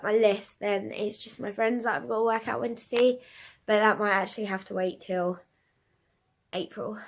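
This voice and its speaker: A young woman chatting casually in a video diary, congested with a cold and a cough. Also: fairly high pitch. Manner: chatty and rambling, with many fillers. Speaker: vlogger.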